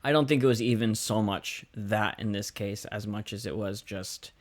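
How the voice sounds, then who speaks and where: A man co-hosting a casual conversational podcast, speaking haltingly and often correcting himself as he goes. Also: lowish voice. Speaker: podcast co-host and parent.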